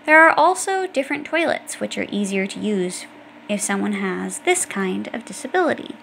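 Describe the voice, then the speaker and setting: A woman's voice: mid-range voice, big pitch swings, normal speed, vocal fry, a bit bright, a little hiss or hum. A woman reading an educational children's book aloud, explaining things directly to young listeners. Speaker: audiobook reader.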